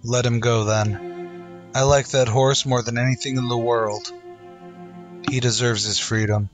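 A man's voice: medium pitch, fairly even intonation, a little slow, faint music behind, lightly acted. A man playing a heroic, resolute masked cowboy in an old-time radio western, earnest and dramatic. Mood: earnest, moved.

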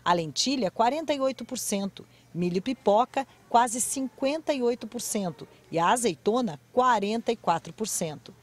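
A woman, narrating a news report in a measured way, reading out prices and percentages. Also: on the high side, expressive. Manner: measured, figure-heavy narration. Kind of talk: scripted news voice-over.

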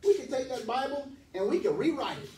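A man in his forties preaches with a high, swooping voice and emphatic energy, speaking into a handheld mic. He is heard from a distance in a roomy church hall that rings with echo, under a faint hum of background noise. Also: a little fast. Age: 40s. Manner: animated, emphatic, pacing the stage. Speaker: pastor. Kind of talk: sermon. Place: church hall, medium-sized, indoors. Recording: echoey hall, from far off.

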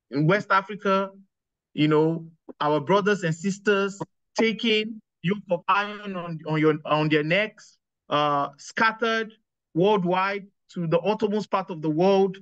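A man in his forties hosts a chat over a video call from a small curtained room. His voice sits a bit high for a man and comes through dry and close. The sound is muffled and lo-fi, like webcam audio. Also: a bit bright, no room sound. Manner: thoughtful, many fillers and restarts, gestures while talking. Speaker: host. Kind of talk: casual video-call discussion.

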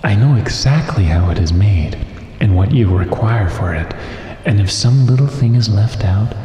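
A man voicing a kind, practical female goose in a storybook, calm and reassuring. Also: on the low side, moderate pace, reverberant, big-room sound, a little hiss or hum, lightly acted.

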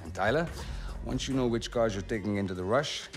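Actor as an easygoing gravelly crew mechanic. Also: male voice, on the low side, fairly quick, over music, close to an everyday voice. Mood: assured. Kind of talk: video game cutscene acting.

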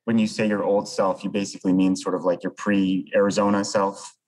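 An American man asks questions as a sports reporter at a press conference. His voice is deep. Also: flat delivery, brisk, a little mellow, rough recording.

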